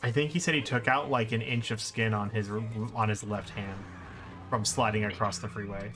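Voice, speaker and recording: A man's voice: lowish voice, fairly even intonation, normal speed, music in the background. A man giving casual, exclamatory commentary while he plays a video game on a livestream. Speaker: speedrunner streamer playing and commenting.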